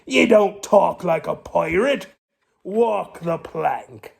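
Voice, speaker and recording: A man voicing a cranky, blustering pirate captain in a storybook, with slow, salty pirate speech. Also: high voice, expressive, vocal fry, husky, full character voice. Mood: exasperated.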